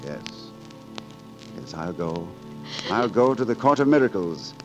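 Gallant rogue poet, wide-swinging declamatory delivery, 1940s radio drama, music behind. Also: male voice, fairly low pitch, very animated, unhurried, low-quality recording. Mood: tender, rueful. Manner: theatrical, poetic, declamatory. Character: gallant, roguish male gutter poet and rabble leader.

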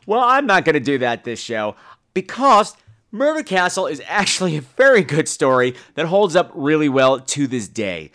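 A man with a slightly high, very animated voice hosts a podcast. His delivery is casual and comic, with theatrical flourishes, swearing and frequent self-interruption. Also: moderate pace. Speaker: podcast host.

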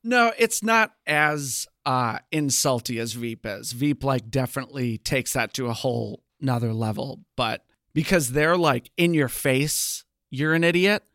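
A man's voice: medium pitch, measured pace, few pauses, fairly bright tone, no room sound, studio quality. A man co-hosting a podcast, chatting casually about television. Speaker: podcast co-host. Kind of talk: casual TV review podcast chat.

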